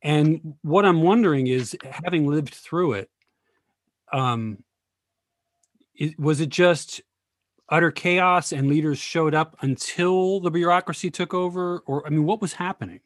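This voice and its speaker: Higher-voiced man asking probing questions. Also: a little slow, no room sound, studio quality. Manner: probing, thoughtful, politically outspoken. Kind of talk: post-reading panel discussion.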